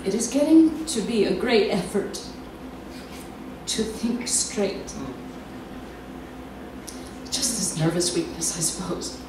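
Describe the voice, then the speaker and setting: An actress performing a theatrical monologue as a lonely, nervous, confined wife slipping into obsessive madness, halting and dramatic with drawn-out words. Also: female voice, mid-range voice, lively intonation. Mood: strained, confiding. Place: live performance in a cafe venue. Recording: very echoey room, distant mic, noisy.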